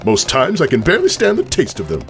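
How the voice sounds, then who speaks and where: A man's voice: medium pitch, wildly swooping pitch, fast talker, gravelly, fairly bright tone, soft background music, voice pushed up, full character voice. A man voicing a male farm pony who compulsively blurts blunt, scolding thoughts in an exasperated ramble. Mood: blunt, insulting.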